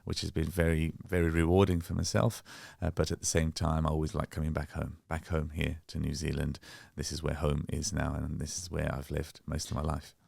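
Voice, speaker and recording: A middle-aged man speaks as an interview guest, calm and friendly, telling his story at an even pace. His voice sits very low and carries a strong gravelly fry. The room sound is dry and close. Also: fairly quick, few pauses, no room sound. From born in Bangladesh, raised in England. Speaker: guest, sports science professor. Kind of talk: relaxed interview conversation.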